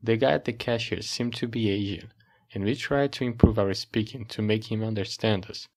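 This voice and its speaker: A man's voice: on the low side, moderate pace, a little mellow. A male student from Brazil giving read-aloud narration for a class project. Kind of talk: scripted narration.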